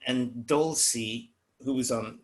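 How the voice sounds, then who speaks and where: A man's voice: medium pitch, takes it slowly. An older man on a family video call, telling a rambling family story.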